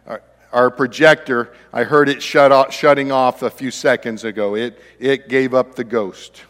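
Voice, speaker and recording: A man's voice: mid-range voice, fairly even intonation, unhurried, fairly bright tone, long reverb tail. A man in his fifties preaching a sermon as pastor, in a conversational way with chatty asides to the congregation. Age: 50s. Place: church sanctuary.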